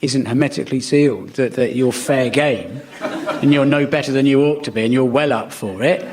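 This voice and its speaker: A man's voice: mid-range voice, moderate pace, crowd noise. A man riffing comically as a panel show guest, in rambling, exasperated mock-indignant rants. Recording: loud studio audience noise, clicks. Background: audience laughter.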